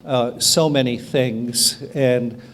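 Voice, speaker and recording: A man introducing a speaker at a seminar, halting and dryly ironic, with many fillers. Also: mid-range voice, steady tone, heavy background noise. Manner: slow, halting, dry irony. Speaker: seminar moderator. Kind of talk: conference introduction. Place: seminar hall.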